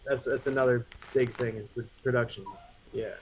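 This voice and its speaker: A man's voice: mid-range voice, fairly even intonation, a little fast, rough recording. A man hosting a casual live chat, coaching a guest on music production with lots of fillers. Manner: mentoring, gives advice, many fillers. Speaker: host.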